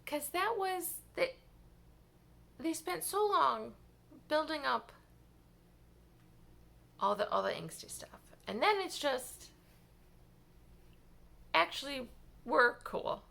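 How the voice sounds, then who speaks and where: Female vlogger, casual reaction chat, bright and slightly high with plenty of fry. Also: animated, slow pace, long pauses. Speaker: reading vlogger.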